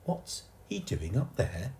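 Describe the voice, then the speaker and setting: A man voicing a calm father with a mild, dry manner. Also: on the low side, slow pace, gravelly, slight background noise, exaggerated voice.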